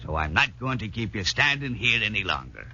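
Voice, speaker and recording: An actor playing a tough, sarcastic Irish commando sergeant major in a 1940s radio drama, with a rough, commanding voice. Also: male voice, on the low side, very animated, brisk, bright, forceful tone, a little hiss or hum, exaggerated voice. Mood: stern briefing. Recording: dull vintage 1940s radio recording.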